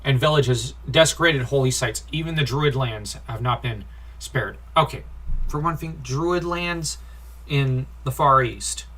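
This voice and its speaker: Slow-talking man with a bright, critical edge. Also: medium pitch. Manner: nitpicky, critical, sighing. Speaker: comic book reviewer. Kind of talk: informal comic review commentary with read-out dialogue.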